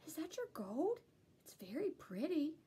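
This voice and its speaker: A woman's voice: high voice, big pitch swings, unhurried, dark tone, voice pushed up. A woman voicing a friendly, eager female dog in a children's storybook, sweet, earnest and chatty. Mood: apologetic. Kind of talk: children's storybook character reading.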